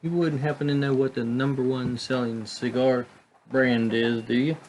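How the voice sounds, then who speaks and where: A man with a slightly raspy voice with a country vibe, chatting casually and musing with many fillers while reviewing cigars. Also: mid-range voice, little pitch movement, moderate pace, darkish tone, a little hiss or hum. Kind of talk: casual chat.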